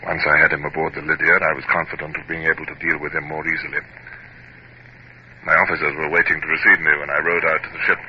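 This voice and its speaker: A man's voice: extremely low pitch, flat delivery, quick pace. An actor playing a reflective English naval captain with a British accent, narrating his own adventures in a radio drama. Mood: composed. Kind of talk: scripted first-person radio drama narration. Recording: muffled, noisy 1950s radio recording.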